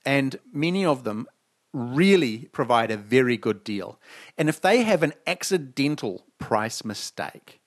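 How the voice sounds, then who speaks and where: A man's voice: medium pitch, sing-song, unhurried. A man co-hosting a casual talk show, reading news aloud and ranting sarcastically, swearing freely. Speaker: podcast co-host.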